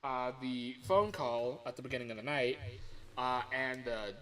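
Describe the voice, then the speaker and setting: A man's voice: medium pitch, steady tone, a little slow, fairly bright tone, rough recording. A man chatting casually on a livestream as an amateur voice actor, rambling, self-deprecating and breaking off mid-thought.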